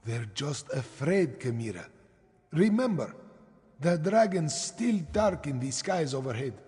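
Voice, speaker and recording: An actor playing a calm, weary, wise cat-man advisor in a fantasy video game, with a husky voice and measured counseling manner. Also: on the high side, full character voice. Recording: long hall reverb.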